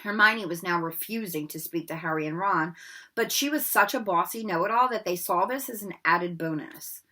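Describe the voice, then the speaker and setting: A woman reading a children's novel aloud in an expressive storybook manner, with occasional slips and rereads. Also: fairly low pitch, normal speed. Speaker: read-aloud narrator.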